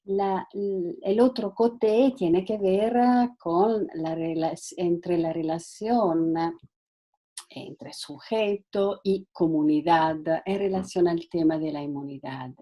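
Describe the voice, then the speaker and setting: Italian-native woman guest speaker, slow halting delivery, mellow tone, video-call sound. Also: lowish voice. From Italy. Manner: hesitant, halting with frequent fillers and restarts. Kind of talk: online talk.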